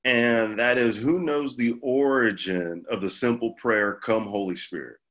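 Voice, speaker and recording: A man speaking as a pastor, giving an informal Bible teaching in a measured, halting way with pauses mid-phrase. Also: mid-range voice, fairly bright tone. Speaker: pastor leading a church group.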